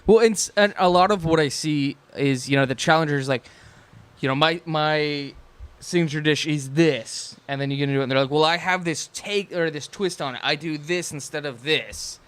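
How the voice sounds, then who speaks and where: Man, young adult, podcast co-host, fairly high pitch, casual chat, frequent swearing. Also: moderate pace, a bit bright, clean tone. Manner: swears a lot, rants in bursts.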